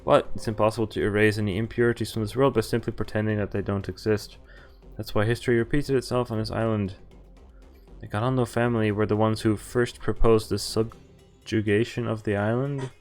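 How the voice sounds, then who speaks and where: Low-voiced man reading a visual novel aloud. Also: steady tone, brisk, a little mellow, faint music behind. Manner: reads narration evenly, breaks off to swear and react. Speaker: streamer playing a visual novel. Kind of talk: reading game text aloud with live reactions.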